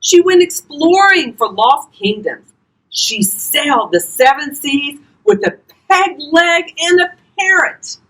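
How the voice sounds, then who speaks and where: An older woman reading a children's storybook aloud expressively, talking directly to young listeners in a husky voice. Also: high voice, lively intonation, slow pace, a bit bright, rough recording. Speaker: volunteer grandparent reading to children.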